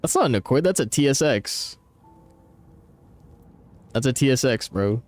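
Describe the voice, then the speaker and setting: A man with an average-pitched voice talks like a game streamer in the middle of a live session. He is blunt and teasing, swearing freely and leaning on slang. Faint music plays behind his casual commentary. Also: animated, unhurried, a little mellow. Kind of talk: casual profane live gameplay commentary and chat banter.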